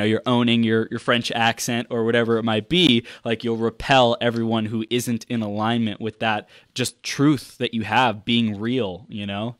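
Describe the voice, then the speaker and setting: A man from the United States hosting a podcast interview, enthusiastic and quick with short affirmations. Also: medium pitch, dry recording, studio quality. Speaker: podcast host.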